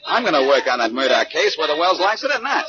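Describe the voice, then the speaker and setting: An actor playing a cocky, wisecracking amateur detective, breezy and sardonic, in a 1940s radio crime drama. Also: male voice, fairly high pitch, very animated, quick pace, crowd noise. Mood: determined. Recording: dull old radio recording.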